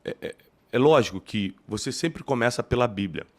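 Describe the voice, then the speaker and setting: A man with a carioca Brazilian accent hosts the conversation, his pitch swinging widely as he talks. He asks open questions and thinks out loud as he goes. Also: normal speed. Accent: Carioca Brazilian Portuguese. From Rio de Janeiro, Brazil. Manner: animated, questioning, thinking aloud. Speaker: podcast host and theologian. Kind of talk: conversational interview.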